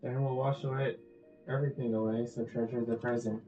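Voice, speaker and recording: A woman's voice: very deep voice, flat delivery, brisk, mellow. A woman giving casual, laid-back gameplay commentary as a streamer. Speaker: game streamer. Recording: echoey, dull microphone over game music.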